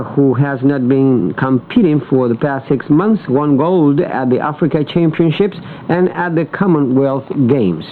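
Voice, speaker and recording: Male radio sports newsreader, higher-pitched voice, thin band-limited shortwave sound. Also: narrow, tinny audio, slight background noise. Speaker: sports news presenter. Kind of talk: scripted radio news reading. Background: shortwave radio reception.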